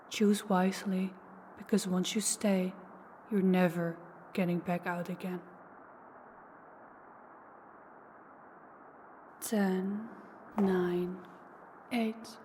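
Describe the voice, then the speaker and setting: Casting: actress as old, powerful vampire woman for audio roleplay; mid-pitched, deliberate, taunting menace. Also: a little mellow, slight background noise. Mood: teasing, menacing. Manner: slow, deliberate, taunting, dropping into whispers. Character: ancient, powerful female vampire, former huntress, teasing and menacing. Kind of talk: intimate audio roleplay acting.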